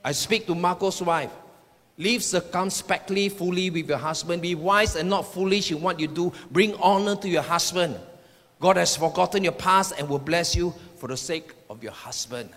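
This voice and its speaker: Guy preaching a sermon, pretty high sharp voice, repeats stuff for emphasis, big echoey hall. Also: normal speed. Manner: emphatic declarative delivery with repeated phrases. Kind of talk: sermon with personal prophetic words to the congregation. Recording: echoing hall with long reverb.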